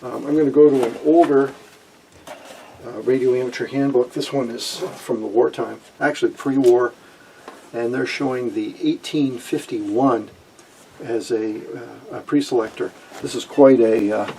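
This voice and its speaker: A man in his sixties presenting an informal technical explanation in a halting way, with frequent pauses, in a small room at home. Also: mid-range voice, vocal fry, darkish tone, husky, distant mic, rough recording. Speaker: presenter of a vintage radio electronics channel.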